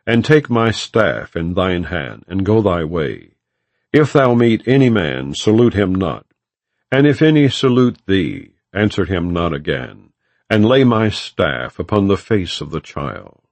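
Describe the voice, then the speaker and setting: A man's voice: deep voice, no echo. A man narrating an audiobook of scripture in a solemn, formal, declamatory reading style.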